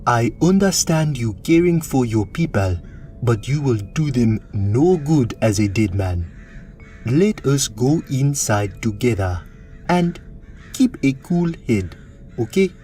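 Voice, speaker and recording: A man plays a big, kindly uncle of a cook in a fantasy radio play. He speaks slowly and earnestly, with lively rises and falls. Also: mid-range voice, soft background music. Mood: cautious, caring. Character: jolly, big, cautious avuncular cook. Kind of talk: fantasy audio drama acting.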